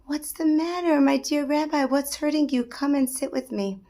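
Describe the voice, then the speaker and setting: A woman voicing a gentle holy old master. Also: high voice, normal speed, voice pushed up. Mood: kind. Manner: soft, tender, solemn.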